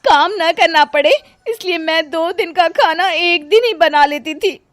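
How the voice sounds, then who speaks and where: Woman putting on a high, whining, dramatic young wife. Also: a little slow, fairly bright tone, no room sound, clean studio sound. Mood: pained, whining. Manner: exaggerated, dramatic, plaintive. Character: lazy whining daughter-in-law, defiant then suffering in pain. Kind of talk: Hindi cartoon story dialogue.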